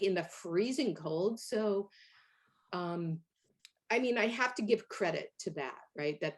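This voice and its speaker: A middle-aged woman from Hungary, a guest in an interview, telling her story in a lively way with fillers and laughs. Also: low-pitched. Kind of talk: conversational interview.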